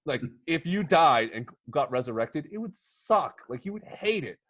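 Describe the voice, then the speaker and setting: A man on a podcast panel pitching movie ideas casually and comedically, rambling excitably and acting out little imagined quotes. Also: normal speed, dry recording.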